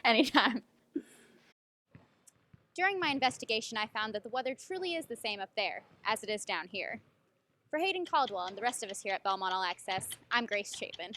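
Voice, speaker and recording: A woman's voice: fairly high pitch, expressive, fairly quick, pushed and bright, a little hiss or hum. A woman interviewing people and narrating as a reporter in a mock investigative report.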